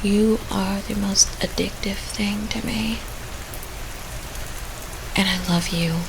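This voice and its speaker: A woman's voice: medium pitch, steady tone, slow, noisy background. A woman playing a shy, lovesick stalker confessing to a coworker in an audio roleplay, stammering, repeating and pleading. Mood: hushed, yearning. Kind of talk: immersive roleplay audio drama monologue.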